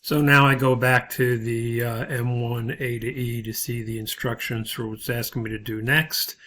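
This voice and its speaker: A man giving an informal, step-by-step software tutorial as an instructor, thinking aloud as he goes. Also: mid-range voice, flat delivery, moderate pace.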